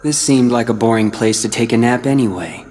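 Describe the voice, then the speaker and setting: A man's voice: mid-range voice, brisk, darkish tone, natural voice. An actor playing a guarded, defiant teenage boy hero in a video game, cool and searching. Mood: cool, resolved. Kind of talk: English video game cutscene voice acting.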